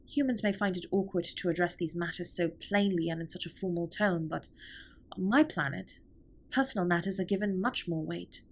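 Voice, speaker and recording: Husky, formal alien captain, voiced by a woman. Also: on the low side. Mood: sincere, formal. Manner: formal, measured, precise wording with few contractions. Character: formal, caring alien spaceship captain, earnest and protective. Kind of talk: scripted roleplay audio drama, intimate comfort monologue.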